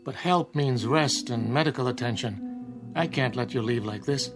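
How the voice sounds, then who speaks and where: An actor playing a firm, rational small-town doctor in a radio drama, measured and authoritative as he explains. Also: medium pitch, natural voice. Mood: firm, pleading. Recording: music under the voice.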